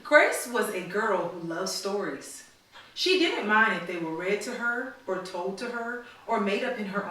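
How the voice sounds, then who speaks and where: A woman reading a storybook aloud to children in a teacherly way, pausing to prompt them with questions. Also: medium pitch, fairly bright tone. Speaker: mother reading a storybook to her daughters. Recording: very echoey room, slightly distant mic.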